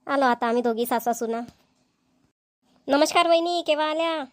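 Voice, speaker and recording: A woman's voice: high-pitched, normal speed, dry recording, clean studio sound, close to an everyday voice. A woman voicing chatty village women in a cartoon, with a Vidarbha Marathi accent and a folksy, colloquial manner. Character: chatty village women gossiping at a family engagement. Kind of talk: amateur cartoon dialogue acting.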